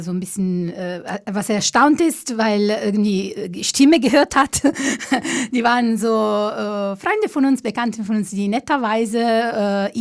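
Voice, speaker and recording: A woman from Italy with an Italian accent, hosting a podcast in a casual way, with many fillers and restarts. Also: medium pitch. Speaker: podcast host.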